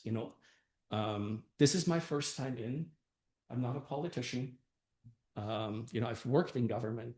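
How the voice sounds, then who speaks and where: A man's voice: mid-range voice, a little mellow. Older guy from austria and germany talking earnestly to a crowd, lots of um and right?, echoey room. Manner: earnest, conversational, many fillers and "right?". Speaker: council candidate. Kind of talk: campaign speech at a meeting.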